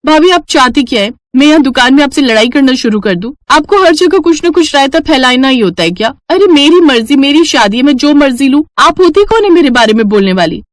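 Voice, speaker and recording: Woman voicing a high, hot-tempered young bride-to-be. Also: lively intonation, fairly quick, few pauses, no echo. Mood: indignant. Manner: indignant, whining, combative.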